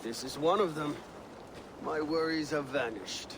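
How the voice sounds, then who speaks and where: An actor playing a commanding, dry-witted Viking war leader in a video game cutscene, blunt and authoritative. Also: male voice, fairly high pitch, measured pace, background noise, close to an everyday voice. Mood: dry disdain.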